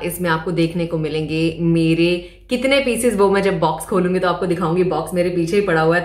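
A woman in her thirties talks chattily in a lowish voice, recorded on a camera a little way off in her bedroom. Her throat is slightly sore, and she slips English phrases into her talk. The room gives her voice a noticeable echo. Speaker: fashion vlogger. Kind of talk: casual sponsored try-on haul chat. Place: bedroom at home, indoors. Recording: echoey room.

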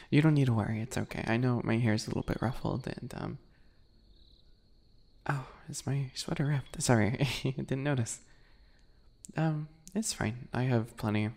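A man voicing a sweet-spoken, obsessive male bunny predator in an ASMR roleplay, soft, breathy and teasing, often whispering. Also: medium pitch. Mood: shy, sweet. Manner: slow, teasing, giggly, menacing.

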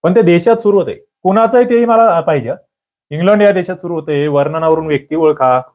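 A man teaching an exam prep lecture, quizzing listeners with lively rhetorical questions. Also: fairly high pitch, quick pace. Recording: dull lo-fi audio.

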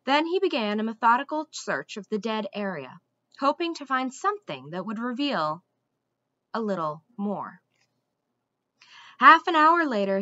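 A young adult woman reading a storybook aloud like a teacher, with deliberate, dramatic phrasing. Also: on the high side, bright, forceful tone, no room sound, dull audio. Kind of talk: expressive storybook read-aloud. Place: small room indoors at home.

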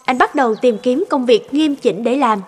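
A woman's voice: high voice, moderate pace, darkish tone, breathy edge, in a large hall. A woman narrator reading a scripted cartoon story.

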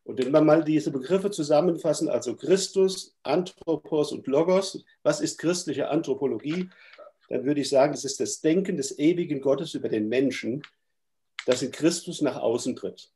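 A man's voice: fairly high pitch, moderate pace, warm tone. A middle-aged man with a stuffy cold gives a thoughtful, hesitant lecture from a room at home over a video call. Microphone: webcam on a video call.

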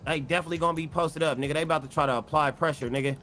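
A man's voice: on the high side, fairly even intonation, rapid-fire, a bit bright, slight background noise. A young man improvising street-gang roleplay in a video game, with slangy, profane talk. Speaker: roleplay gamer.

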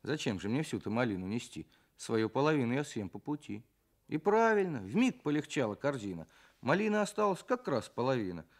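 A man telling stories and reciting children's poems in an expressive, theatrical way, as a writer on a programme for children. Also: medium pitch, brisk. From Russia.

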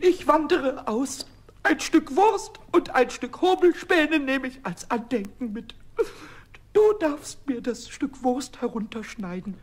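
An actor voicing a cheeky, stubborn little male kobold in a children's radio play, childlike, raspy, excitable and self-important. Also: very high-pitched, slow pace, exaggerated voice. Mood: sulky, offended. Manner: excitable, repeats words, sulky and self-important.

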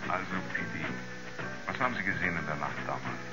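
An actor playing a sober, inquisitive man who narrates his investigation in a measured, matter-of-fact way in a radio drama. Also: low-pitched, over music, close to an everyday voice. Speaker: first-person narrator and investigator. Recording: muffled 1970s radio play recording.

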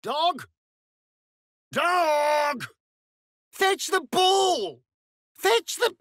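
A man with an English accent playing a sardonic, vain elven vampire rogue in a video game, arch, mocking and theatrical, with a breathy voice. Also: very high-pitched, big pitch swings, extremely slow, a bit bright, no room sound, studio quality, full character voice. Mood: loud, exclaiming. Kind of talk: video game character voice lines, theatrical delivery.

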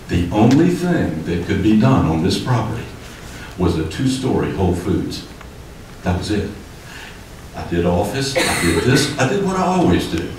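A man's voice: low voice, background noise. An older man speaking at a city hearing podium as a developer giving public comment, emphatic and growing heated. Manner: emphatic, gesturing, rising heat. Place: city commission chamber, indoors. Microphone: podium microphone. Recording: echoey hall, distant mic.

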